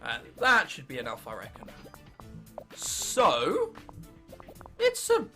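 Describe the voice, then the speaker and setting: A teenage man from England with an English accent, commentating on gameplay and thinking aloud in an excitable, sweary, self-mocking way. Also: fairly high pitch, slow, soft background music. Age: late teens. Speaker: gaming video host.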